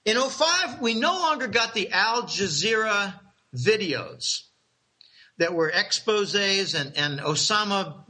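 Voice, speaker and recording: A man's voice: high-pitched, expressive, takes it slowly, bright, forceful tone, rough recording. A middle-aged man casually telling rambling, conspiratorial stories, chuckling and restarting his sentences.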